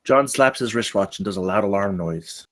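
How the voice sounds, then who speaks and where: A man's voice: on the low side, normal speed, a bit bright. A man narrating stage directions from a script at a live table read.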